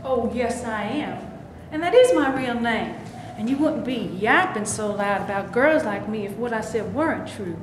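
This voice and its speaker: Female reader as a snooty young starlet who flaunts her looks; a high voice in a reverberant hall with noise behind. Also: expressive, moderate pace, close to an everyday voice. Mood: haughty. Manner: haughty, taunting. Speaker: actor in a staged reading. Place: stage before a live audience. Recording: echoey hall, clear background noise.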